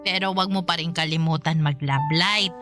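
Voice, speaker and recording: Give the part to a woman playing an older mother with a lowish voice. She is caring and worried, chiding fondly in conversational radio drama dialogue. Soft music sits behind her. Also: moderate pace, close to an everyday voice. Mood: gently nagging. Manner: conversational, fond, chiding with hesitant interjections.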